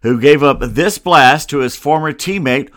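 A man's voice: a little high, normal speed, a bit bright, dry recording, clean studio sound. An American man hosting a solo sports show in a casual, grumbling and wry way, with rambling asides. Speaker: sports podcast host. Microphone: close computer microphone.

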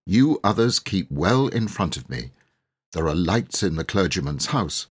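A man voicing a bold, bossy boy who leads his siblings in a storybook, commanding, deliberate and emphatic. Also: low voice, big pitch swings, slightly rough, full character voice. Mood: planning.

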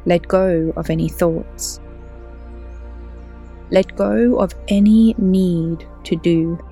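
A woman yoga teacher leading a guided relaxation in a soothing, unhurried, gently instructing way. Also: fairly low pitch, slightly rough, faint music behind. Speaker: yoga teacher and podcast host. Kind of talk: guided relaxation meditation narration.

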